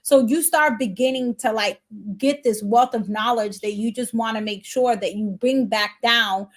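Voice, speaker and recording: A woman hosting a casual interview chat, lively and animated, hyping up her guest. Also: a little high, moderate pace. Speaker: host.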